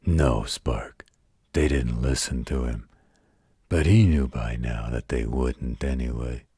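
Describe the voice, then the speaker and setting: An extremely low, heavily rasping werewolf played by a man, gruff but gentle and protective. He speaks in a folksy, unhurried way, as in a bedtime roleplay audio, close and dry. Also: dry recording, heavily acted. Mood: amused storytelling. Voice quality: deep, gravelly, husky. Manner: folksy, slow, patient drawl with colloquial turns.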